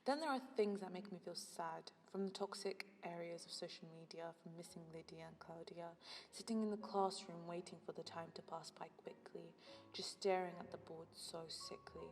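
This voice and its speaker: A woman's voice: on the low side, moderate pace, a little mellow. A young woman in her teens delivering a confessional, partly rhymed spoken-word monologue, as if leaving a reflective message for a friend. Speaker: student narrating an audio journal. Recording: long reverb with music behind. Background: music.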